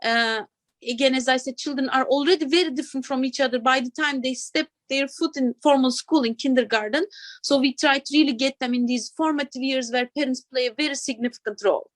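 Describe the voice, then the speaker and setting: A woman's voice: high-pitched, fairly quick, dry recording. A woman from Turkey, an assistant professor in her thirties, talking casually as an interview guest and often adding "right?". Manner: chatty, adds "right?" and soft laughs.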